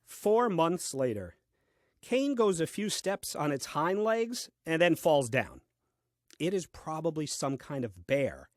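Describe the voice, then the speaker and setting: An actor playing a literal-minded, puzzled and grumbling Adam in a comic diary-style audio play, with a deliberate, dryly pedantic delivery. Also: male voice, on the high side, big pitch swings, no room sound. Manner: deliberate, theatrical, dry and pedantic.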